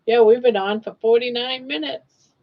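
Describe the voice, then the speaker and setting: A woman's voice: a little high, fairly even intonation, normal speed, muffled recording. A woman in her sixties hosting a casual live stream, chatting and joking and talking sweetly to her pets. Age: 60s. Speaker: livestream host.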